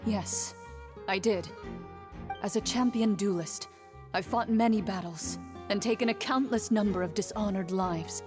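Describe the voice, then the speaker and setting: A woman playing a stoic, honorable duelist in a game, giving formal, composed testimony in a medium-pitched voice. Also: measured pace, music in the background, natural voice. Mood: solemn. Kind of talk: dramatic game voice acting.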